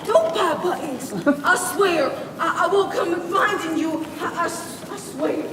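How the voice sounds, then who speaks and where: A woman playing an agitated older male button-store owner and retired cop, ranting and shouting at the crowd. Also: very high-pitched, unhurried. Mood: sheepish. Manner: ranting, shouting, laughing, then sheepish. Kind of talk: live stage comedy play. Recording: roomy hall from far off, audience noise.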